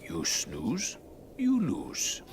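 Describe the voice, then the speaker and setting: A man voicing a wise, elderly rat master and father in an animated game, solemn and sage-like. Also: medium pitch, very slow, background noise, exaggerated voice. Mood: wry, solemn. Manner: solemn, sage-like broken phrasing. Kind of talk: animated video game voice acting.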